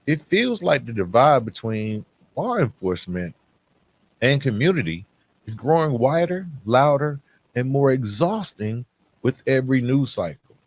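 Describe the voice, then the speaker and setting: A man hosting a podcast, speaking in a reflective monologue with a measured, earnest delivery and dramatic pauses. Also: mid-range voice, sing-song. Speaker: podcast host.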